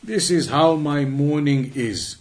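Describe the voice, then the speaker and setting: A man with a slightly high voice teaches religion. He reads and explains in a didactic way, restating key phrases so that each point lands. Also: slow pace. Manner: didactic, repeats and rephrases phrases for emphasis. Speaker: religious teacher reading and explaining an Islamic text. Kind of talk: religious lesson, reading aloud then explaining.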